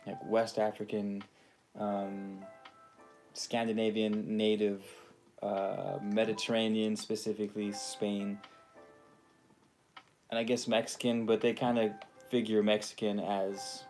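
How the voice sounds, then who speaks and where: A man's voice: fairly low pitch, flat delivery, slow, pauses often, darkish tone. Man from California, livestream host, loose rambling chat with lots of ums, faint music underneath. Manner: rambling, laid-back, frequent ums, greets viewers by name. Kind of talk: casual livestream chat reading viewer comments.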